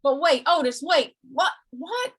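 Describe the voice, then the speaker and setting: A woman's voice: high voice, sing-song, a little slow, a bit bright, heavily acted. A woman playing a modest, easily flustered cowboy in an old-time radio western, stammering and folksy. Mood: bewildered, musing. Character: mild, flustered, modest cowboy Britt, a man.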